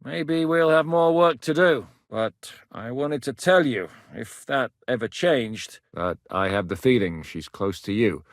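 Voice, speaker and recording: An actor playing a battle-hardened warlord commander who gives blunt, commanding orders and swears freely in the fight, recorded in a dry studio. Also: male voice, medium pitch, a little slow, hardly any pauses, dry recording, lightly acted. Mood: grave. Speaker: military commander character. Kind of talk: video game voice lines.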